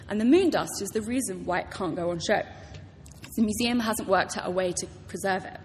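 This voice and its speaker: A British woman author giving a prepared talk to a live audience, telling stories with enthusiasm. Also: mid-range voice. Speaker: author introducing a public reading. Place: large hall at a public library event. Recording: reverberant hall, clear background noise.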